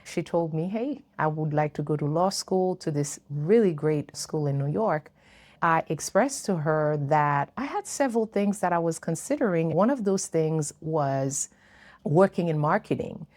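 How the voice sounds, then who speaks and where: Woman, fifties, English not her first language, low voice, earnest, reflective storytelling to camera. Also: expressive, a little slow, darkish tone. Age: 50s. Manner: earnest, reflective, with light laughs. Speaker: YouTuber.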